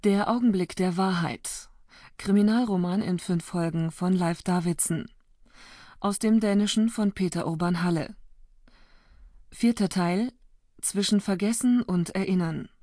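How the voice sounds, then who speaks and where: A woman reading scripted radio credits as an announcer, in a measured, neutral manner. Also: fairly low pitch, warm tone, no room sound, studio quality.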